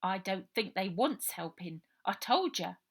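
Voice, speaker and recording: A woman voicing a scruffy, wary, hungry orphan boy with rough colloquial speech for a children's storybook. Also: medium pitch, big pitch swings, unhurried, full character voice. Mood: defiant, reluctant. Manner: rough colloquial working-class English grammar.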